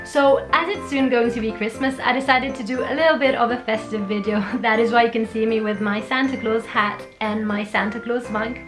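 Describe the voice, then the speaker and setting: A woman's voice: on the high side, fairly even intonation, brisk, few pauses, over music. A young woman from Italy with an Italian accent, chatting through a Q&A on her own channel. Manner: bright and chatty, reads out questions then answers at length. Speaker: vlogger. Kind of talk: casual Q&A vlog. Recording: echoey room.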